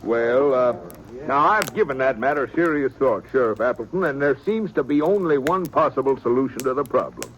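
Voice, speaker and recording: An actor playing a fussy, wordy small-town preacher in an old radio drama, pompous and prone to stammering. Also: male voice, medium pitch, animated, brisk, dull audio, close to an everyday voice. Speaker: reverend. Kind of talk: 1950s radio drama acting. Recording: old radio recording with faint hiss.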